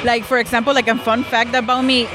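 A woman's voice: a little high, little pitch movement, fast talker. A young woman from Mexico, answering interview questions about her film club in a hesitant way with many fillers. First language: Spanish. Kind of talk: casual interview.

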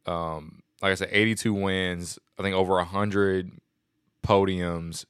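Man, podcast host, slightly nasal and congested, monotone delivery, dry close recording. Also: deep voice, a little slow, clean tone, dry recording. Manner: excited, rambling, casual slang with restarts. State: congested from a stomach bug. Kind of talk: casual solo commentary.

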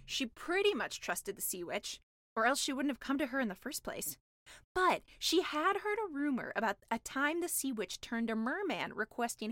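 A woman's voice: high-pitched, a bit bright, no echo. A woman narrating a comic fairy tale as an audiobook reader, in a scripted storytelling style.

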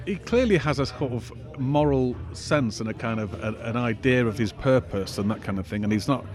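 A man's voice: mid-range voice, fairly quick, music in the background. A male podcast host chatting wryly about a film, then reading the show's closing credits. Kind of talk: casual film chat and scripted outro.

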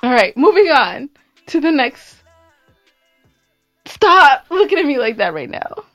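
A woman's voice: high-pitched, sing-song, measured pace, a bit bright, soft background music. A woman hosting a podcast in casual, conversational chat. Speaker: podcast host.

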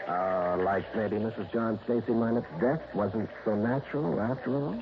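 A man's voice: lowish voice, moderate pace, natural voice. An actor playing an eager, talkative small-town radio newsman in an old radio drama. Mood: insinuating. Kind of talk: 1960s radio drama acting. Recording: dull old radio recording with hiss.

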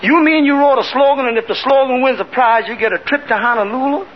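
An actor playing an eager, credulous sidekick in a 1950s radio sitcom. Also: male voice, very high voice, quick pace. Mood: surprised, eager. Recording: muffled, noisy 1950s radio recording.

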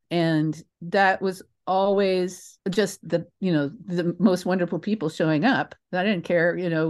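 Older American female, slightly lower register. Conversational interviewee, reflective and emphatic, prone to digression. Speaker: interview guest, theatre creator.

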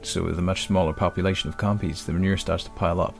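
Man acting as deep-voiced, polite scientist, music behind. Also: steady tone, creaky. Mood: concerned, defensive. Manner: explanatory, measured, polite. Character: earnest male genetic scientist defending his dinosaur work. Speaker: actor in an audio drama. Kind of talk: audio drama dialogue.

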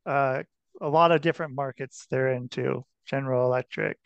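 A man's voice: mid-range voice, fairly even intonation, moderate pace, creaky, clean tone, dry recording, lo-fi sound. A man hosting a podcast, adding brief comments during a casual interview. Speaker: podcast host.

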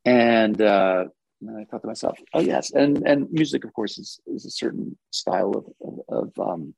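Gravelly, husky man in a thoughtful conversation. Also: lowish voice, a little mellow, no room sound, poor audio. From France. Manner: halting, thoughtful, often chuckling. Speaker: interview guest, singer-songwriter and actor. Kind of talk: casual conversational interview.

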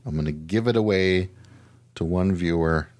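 Deep-voiced man chatting casually on a podcast. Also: normal speed, a little mellow, dry recording. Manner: informal, mildly profane, self-deprecating. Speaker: podcast host.

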